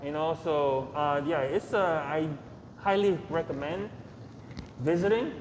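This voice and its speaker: A man's voice: on the high side, a little slow. Hesitant man in an echoey room. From Nigeria. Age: 30s. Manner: soft-spoken, hesitant, many fillers, short yes answers. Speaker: podcast guest. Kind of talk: casual interview answers. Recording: echoey room with long reverb, clear ambient noise.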